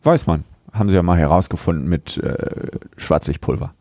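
Wry low-voiced male podcast co-host. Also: moderate pace, dark tone, no echo. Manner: chatty, keeps notes, wry and matter-of-fact. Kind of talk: casual review chat.

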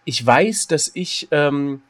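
Joking German-speaking podcast man, fairly high voice. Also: slow pace. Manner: joking, animated, restarts often. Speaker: podcast co-host. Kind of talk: casual comedic chat.

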